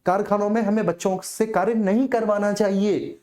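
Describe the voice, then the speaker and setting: Mellow-voiced woman teaching an exam class. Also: mid-range voice, steady tone, moderate pace. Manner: repeats key phrases for emphasis; checks in often with students. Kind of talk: informal exam-prep lecture.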